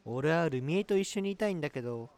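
A man playing a boastful, lovestruck, excitable young guy with a boyish, gushing manner in an amateur comedy radio drama. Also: fairly high pitch, fast, gravelly, clean tone. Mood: playful.

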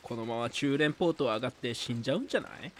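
A man's voice: mid-range voice, racing, close to an everyday voice. A man playing a cynical, womanizing university student with a blunt, grumbling way of talking in an amateur radio drama. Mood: teasing.

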